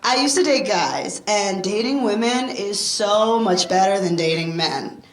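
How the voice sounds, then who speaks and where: A woman's voice: mid-range voice, measured pace, echoey room, lightly acted, low-quality recording. A woman playing a flippant, spendthrift young roommate who does amateur stand-up, with a wry, punchline-driven delivery in a comedy. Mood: wry, joking. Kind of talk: sitcom acting, observational stand-up delivery.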